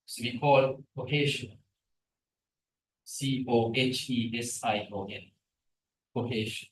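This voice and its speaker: A middle-aged man whose first language is Tamil gives a guest lecture on scientific writing. He is animated and keeps asking the audience questions. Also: mid-range voice, slow, a little hiss or hum. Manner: animated, asks the room questions. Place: seminar room, hybrid session. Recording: echoey room from a distance.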